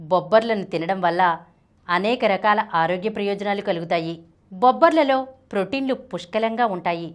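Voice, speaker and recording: A woman reading scripted health information as a channel narrator in a measured, clearly read-out way. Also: mid-range voice. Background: background music.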